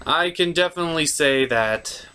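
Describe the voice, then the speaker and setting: A man's voice: on the high side, moderate pace, bright, forceful tone, smooth. A man hosting a cartoon review, delivering scripted comedic narration in a wry, self-deprecating way.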